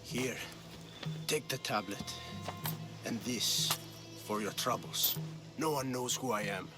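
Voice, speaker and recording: A man playing a sly, injured thief in a video game, bitter, scornful and quick to bargain. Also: mid-range voice, loud music behind the voice, natural voice. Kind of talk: video game cutscene acting.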